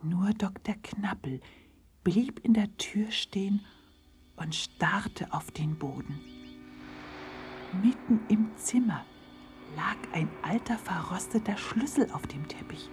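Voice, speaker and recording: A woman with a husky, breathy voice narrating a children's story in a dramatic, suspenseful way. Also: mid-range voice, animated, takes it slowly, music in the background.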